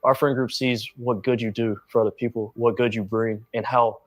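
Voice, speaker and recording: Flat-toned young man speaking earnestly. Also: mid-range voice, moderate pace, dry recording. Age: young adult. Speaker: interviewee. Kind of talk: emotional personal interview.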